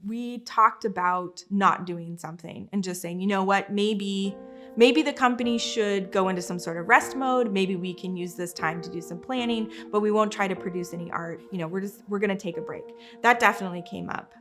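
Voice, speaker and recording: A woman's voice: mid-range voice, normal speed, a bit bright, faint music behind. A woman speaking reflectively in an interview as a leader of a theater company.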